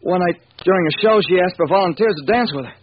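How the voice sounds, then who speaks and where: An actor playing an earnest, excitable man in a 1940s radio crime drama, speaking emphatically on a dull, hissy recording. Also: high-pitched, fast, lightly acted.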